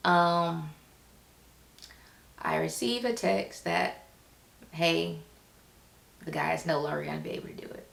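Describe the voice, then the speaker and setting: A woman records a candid personal vlog in an echoey room. Her voice sits a little low with noticeable fry. She talks informally and haltingly, like someone thinking aloud. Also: slight background noise. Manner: halting, informal, acts out quoted remarks. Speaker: vlogger, writer and web series creator.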